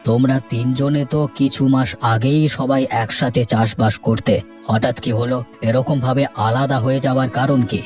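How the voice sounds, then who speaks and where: A man playing an avuncular village headman in a cartoon, giving advice in a measured, instructive way. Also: medium pitch, steady tone, darkish tone, faint music behind, natural voice. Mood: welcoming, questioning. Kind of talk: cartoon dialogue.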